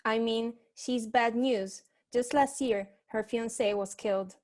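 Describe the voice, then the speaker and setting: A woman's voice: fairly high pitch, unhurried, close to an everyday voice. A woman playing a private eye's worried, loyal assistant, speaking earnestly in a comic detective radio play. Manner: earnest, cautioning.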